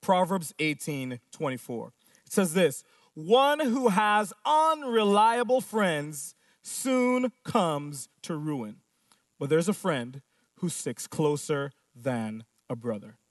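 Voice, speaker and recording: A man's voice: a little high, very animated, slow pace, no room sound, studio quality, recorded from a distance. A pastor preaching a sermon, driving his points home with repeated phrases and rhetorical questions.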